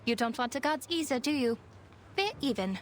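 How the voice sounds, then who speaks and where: A woman's voice: sits high, lively intonation, moderate pace, a little hiss or hum. A woman voicing a wry, guarded young arcanist in a video game, dry and sarcastic, with music behind.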